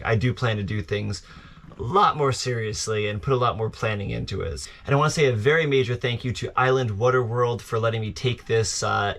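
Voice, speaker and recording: A man's voice: on the low side, a bit bright. A man chatting casually to viewers as a sailing vlogger, rambling informally and poking fun at himself. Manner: informal and rambling, self-deprecating. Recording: outdoor recording with clear background noise.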